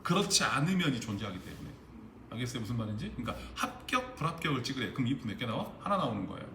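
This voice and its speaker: A man's voice: mid-range voice, big pitch swings, racing, creaky, fairly bright tone. A man teaching a recorded exam-prep lesson on spreadsheet formulas, explaining step by step and often asking the class whether they understood. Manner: explains step by step, keeps checking understanding with questions. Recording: echoey room with background noise.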